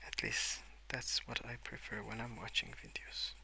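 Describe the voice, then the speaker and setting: Soft spoken asmr guy, half whispering, really crackly fry, crisp and bright, rambles hesitantly. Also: mid-range voice, moderate pace. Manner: hushed, slipping into whispers, hesitant. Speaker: host of a colouring ASMR channel. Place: at a wooden desk indoors, with pencil sounds.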